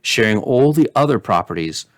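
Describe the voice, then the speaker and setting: Male storyteller, medium pitch, measured expressive read-aloud, dry recording. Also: no room sound. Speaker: storyteller, pastor. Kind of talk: reading a children's story aloud.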